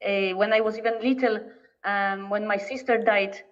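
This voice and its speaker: A woman's voice: mid-range voice, steady tone. Polish-accented woman, distant and muffled. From Poland. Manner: halting, searching for words. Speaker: guest. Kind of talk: casual interview talk. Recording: distant, dull lo-fi remote audio.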